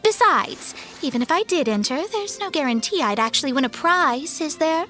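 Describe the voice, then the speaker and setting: A woman voicing a proud young female astrologer with a self-important, formal, precise manner in an animated game. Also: high voice, moderate pace, soft background music. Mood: indignant, proud.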